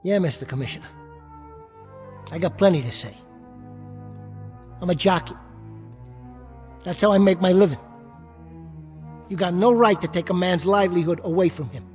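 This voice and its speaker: An actor playing a small, bitter, hot-tempered jockey in a radio drama, with a streetwise, combative, slangy way of talking. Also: male voice, sits high, normal speed, long pauses, soft background music, natural voice. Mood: indignant pleading.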